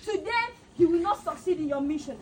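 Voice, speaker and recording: A woman playing a mother who pleads for calm and then prays loudly against a woman she calls a demon, recorded from a distance outdoors. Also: extremely high pitch, brisk, a little hiss or hum. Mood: commanding. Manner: pleading then commanding prayer.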